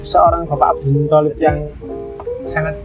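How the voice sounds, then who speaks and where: A man's voice: fairly high pitch, flat delivery, moderate pace, muffled recording. A man doing a casual street interview, polite and a little hesitant as he phrases his questions and comments. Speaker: podcast interviewer. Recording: loud music, street vehicle noise.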